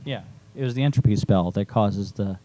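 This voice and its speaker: Mellow low-voiced man in casual discussion. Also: brisk, no room sound, lo-fi sound. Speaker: author and podcast panelist.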